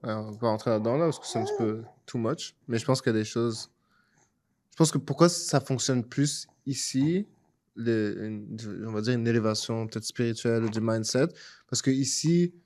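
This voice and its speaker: A man with a medium-pitched voice talks as a podcast panelist. He shares opinions informally, dropping English words into his sentences. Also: normal speed, darkish tone. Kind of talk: casual opinionated discussion.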